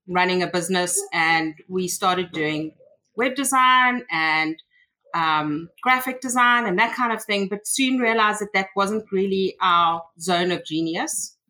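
A South African woman talks casually as a podcast guest, pausing often with hesitant ums. Her voice has a bright, clear edge and sits at an ordinary pitch. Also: low-quality recording. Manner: chatty, hesitant, many ums. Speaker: guest, tech consultant. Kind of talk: casual interview conversation.

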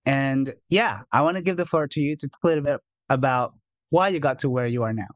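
A man hosting a podcast as a life coach, reading a polished intro and then asking interview questions in a smooth, upbeat way. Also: medium pitch, fairly quick, no room sound, lo-fi sound.

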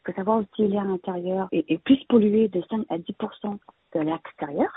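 A woman's voice: medium pitch, a little fast, slightly rough, no room sound. A woman of Vietnamese heritage living in France, giving plant-care advice as an invited expert, speaking hesitantly with many fillers and restarts. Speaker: interior plant decorator, guest expert. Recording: dry, phone-like narrow sound.

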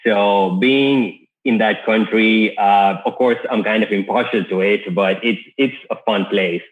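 A man's voice: on the low side, measured pace. Easygoing man on a tinny line. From Kenya. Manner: easygoing, with frequent restarts. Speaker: guest. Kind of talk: casual podcast interview.

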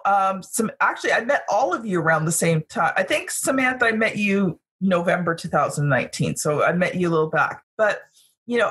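A woman's voice: mid-range voice, a bit bright, slightly rough. A woman in her fifties hosting a casual group discussion, encouraging the others and steering the conversation. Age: 50s. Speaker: host.

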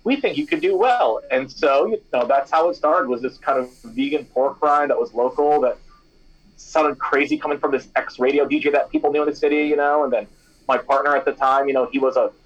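Young male founder, casual and animated, mid pitch, a little muffled. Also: fairly quick. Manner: chatty and animated, many fillers like "you know" and "like". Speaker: snack company founder, former radio DJ. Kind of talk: casual interview answers.

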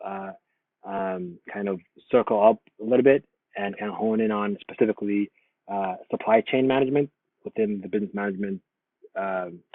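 A man born in Somalia, a guest in a casual interview, talking in a relaxed, rambling way full of fillers and restarts. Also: lowish voice, no room sound. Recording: tinny phone-like lo-fi line, dry.

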